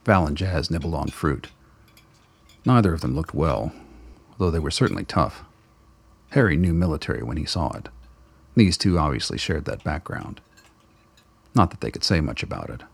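A male narrator telling a radio drama steadily in close third person. His voice is deep and husky. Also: lively intonation, moderate pace, long pauses. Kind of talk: scripted narration.

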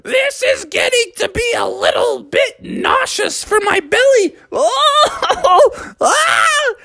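A fussy storybook mother frog, shrill and very high. She is bossy and dramatic, in a wavering falsetto. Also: sing-song, takes it slowly, creaky, bright, forceful tone, no room sound, clean studio sound, exaggerated voice. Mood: queasy, distressed.

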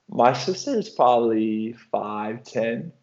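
A young man from Illinois talking casually in an interview, relaxed and rambling, often restarting his sentences. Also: medium pitch, takes it slowly, muffled recording. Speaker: professional basketball player.

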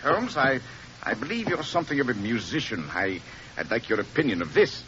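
An actor playing a volatile, tormented doctor and composer in a radio drama, imperious and theatrical in manner. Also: male voice, mid-range voice, very animated, brisk, lightly acted. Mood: imperious, brooding. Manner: imperious, theatrical, abrupt swings. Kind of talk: melodramatic old-time radio acting. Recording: muffled, noisy old radio recording.

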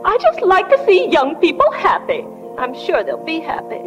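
An actress playing a sentimental, chattering small-town matron at a wedding in a 1940s radio drama. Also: female voice, extremely high pitch, very animated, normal speed, big-room sound, low-quality recording. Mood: gushing, teary. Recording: 1940 radio broadcast with music behind.

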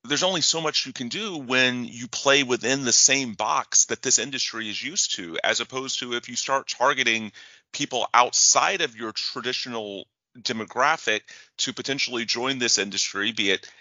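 A man hosting an interview, speaking in fluent, brisk explanations. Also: medium pitch, pushed and bright, no echo, low-quality recording. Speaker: interview host. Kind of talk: conversational interview.

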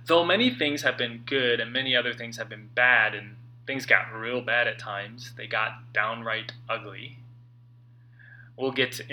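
A man in his late thirties narrates his own motivational book. His voice sits at a medium pitch with a very bright, crisp edge. He speaks as if directly to the listener, earnest and conversational, leaving dramatic pauses between phrases. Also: low-quality recording. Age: late 30s. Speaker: author reading own book. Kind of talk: personal audiobook narration, motivational.

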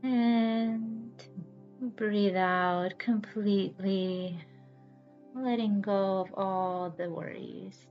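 A woman guiding a yoga nidra relaxation as host, with a soothing, measured manner and deliberate pauses. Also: mid-range voice, fairly even intonation. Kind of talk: guided relaxation meditation. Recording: slightly dull audio with background music. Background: music.

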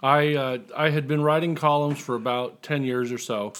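Man, mid-range pitch, podcast guest, casual storytelling, wry and joking. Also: steady tone, moderate pace, a bit bright, clean tone.

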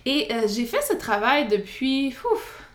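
A woman with an Acadian French accent, hosting her own podcast as a psychotherapist, speaking in a relaxed monologue with frequent hesitations and light laughs. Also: a little high, measured pace. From New Brunswick, Canada. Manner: hesitant, many "euh" fillers, light laughs.

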